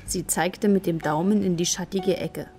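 A woman's voice: fairly low pitch, fairly even intonation, moderate pace, dark tone, soft background music. A woman narrator reading scripted storytelling passages for a children's radio play.

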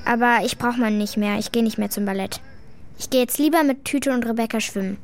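A woman's voice: on the high side, steady tone, a little fast, natural voice. A female performer playing a blunt, cheeky schoolgirl in a children's radio play, speaking in a colloquial, matter-of-fact way. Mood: defiant. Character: blunt, cheeky schoolgirl telling her story reluctantly.

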